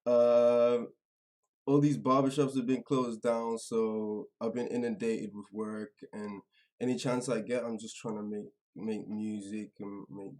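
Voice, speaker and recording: A man hosting a live stream in casual, slangy chat, halting and trailing off as he goes, a little away from the microphone. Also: fairly low pitch, level tone, darkish tone. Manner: informal, slangy address to viewers; halting, distracted, trailing off mid-sentence. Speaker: livestream host. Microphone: some distance from the microphone.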